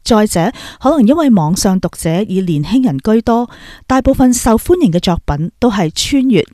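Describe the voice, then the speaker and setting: A woman's voice: mid-range voice, animated, normal speed, dark tone, dry recording, clean studio sound. A woman podcast host with a Hong Kong Cantonese accent, giving scripted spoken commentary.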